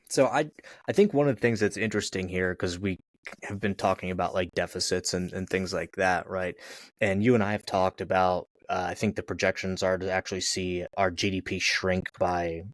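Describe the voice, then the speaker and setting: A man's voice: low voice, steady tone, normal speed, no echo. A man co-hosting a podcast, talking casually about politics in a rambling, hedging way. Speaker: podcast co-host.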